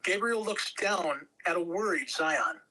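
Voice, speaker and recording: A woman's voice: lowish voice, expressive, a little slow, sharp tone, rough recording. A woman reading scripted narration at a table read, telling the action in a dramatic storytelling way. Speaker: script reader.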